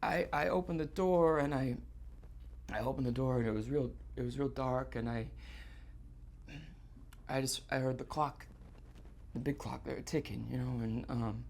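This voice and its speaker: An actor playing a distraught, guilt-ridden man on stage, confessing in halting, repetitive, stammered phrases in a live theatre monologue. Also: mid-range voice, creaky, darkish tone, a little hiss or hum, natural voice. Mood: hesitant, dread. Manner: halting, stammering, repeating himself.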